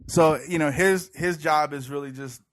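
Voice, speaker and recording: A man's voice: on the high side, moderate pace, a bit bright. A man from Puerto Rico, raised in Georgia, co-hosting a casual podcast with a rambling, joking, self-deprecating way of talking. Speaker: podcast co-host. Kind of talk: casual chat. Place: indoor room, shared studio mics.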